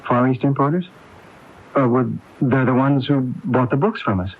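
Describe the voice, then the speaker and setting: A man's voice: mid-range voice, normal speed, lightly acted. An actor playing an evasive, defensive crooked businessman who stammers under questioning in a 1980s radio drama. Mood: evasive, nervous. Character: evasive, defensive crooked businessman hiding guilt from his sister. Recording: tinny, phone-like 1980s AM radio tape.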